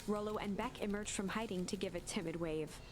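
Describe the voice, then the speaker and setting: A woman's voice: mid-range voice, fairly quick. A woman giving a dramatic reading of a story game's narration. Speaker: let's play host, narrator. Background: music.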